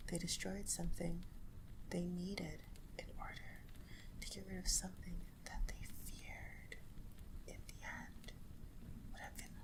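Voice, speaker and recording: Slow whispering woman, gravelly edge. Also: on the low side, level tone, poor audio. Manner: soft whisper, speaks directly to the listener. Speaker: ASMR creator. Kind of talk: whispered ASMR story commentary.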